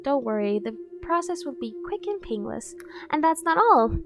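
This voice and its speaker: A woman performs a devoted female bodyguard in an ASMR roleplay, her voice pitched high. She coaxes and insists with a professional front that barely hides a dorky obsession. Faint music plays behind her. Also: sing-song, normal speed, vocal fry, exaggerated voice. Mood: insistent. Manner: persuasive, coaxing, insistent.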